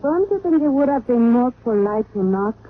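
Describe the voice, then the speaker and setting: An actress playing a sultry, coolly flirtatious woman with a husky voice in a radio detective drama. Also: a little high, expressive, normal speed, phone-quality sound, a little hiss or hum, close to an everyday voice. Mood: cool, evasive. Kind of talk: old-time radio detective drama acting. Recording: phone-thin vintage radio recording.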